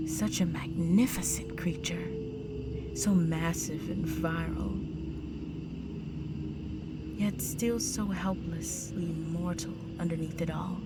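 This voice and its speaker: A woman playing a predatory, condescending vampire countess in an intimate audio drama, with a teasing, aristocratic manner and breathy whispers. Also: on the low side. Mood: mocking, boastful. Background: music.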